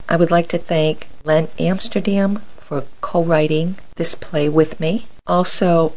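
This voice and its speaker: A woman presenter with a low-pitched voice gives closing credits for a radio play. She speaks as the host, thanking the cast. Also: darkish tone, low-quality recording. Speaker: playwright and presenter.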